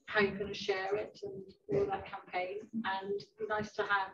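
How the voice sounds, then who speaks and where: A woman's voice: medium pitch, big pitch swings, normal speed, vocal fry, fairly bright tone, a little hiss or hum. A woman chairing a committee meeting, upbeat as she wraps up business. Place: large meeting room. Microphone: far room microphone. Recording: echoey room from far off, dull.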